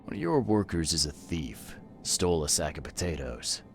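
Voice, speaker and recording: Very deep-voiced, weary game investigator. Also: male voice, expressive, moderate pace, gravelly, faint music behind, heavily acted. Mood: measured, questioning. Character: gruff, weary, plain-spoken male investigator, calm and fair-minded. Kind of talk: video game cutscene dialogue.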